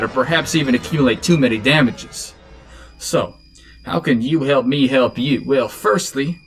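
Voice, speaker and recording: A man playing a friendly, persuasive male recruitment spokesman making an upbeat pitch. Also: fairly bright tone, natural voice.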